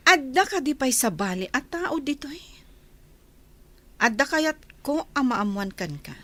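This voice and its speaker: A woman's voice: sits high, sing-song, unhurried, a bit bright, full character voice. A woman playing a haughty rich wife who looks down on the household servants, in a radio drama. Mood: condescending. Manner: haughty, scornful questioning. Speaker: wealthy lady of the house.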